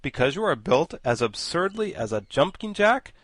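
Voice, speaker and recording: A man's voice: on the high side, big pitch swings, moderate pace, fairly bright tone, pitched above the performer's own voice. A man voicing a rude, sneering male sawhorse. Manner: sneering, gruff.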